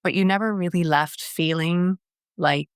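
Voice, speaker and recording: Woman from Canada, middle-aged, smooth clear voice, dry close sound, earnest, restarts phrases. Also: fairly low pitch, fairly even intonation, a little slow, no room sound. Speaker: guest, wellness strategy director. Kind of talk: conversational interview.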